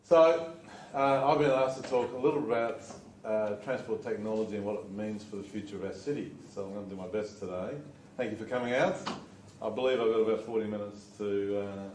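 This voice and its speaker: A man's voice: lowish voice, fairly even intonation, a little fast, a little mellow, far from the mic, a little hiss or hum. A man giving a talk to a live audience, speaking conversationally and putting questions to the crowd. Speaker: guest speaker. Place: lecture room before an audience. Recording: echoey room, off mic, faint ambience.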